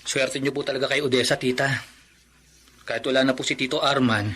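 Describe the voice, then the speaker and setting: A young nephew in a radio drama, respectful and concerned. His voice sits at a plain middle pitch. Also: fairly even intonation, brisk, fairly bright tone, breathy edge. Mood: polite. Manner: polite, respectful speech. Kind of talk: classic radio drama acting.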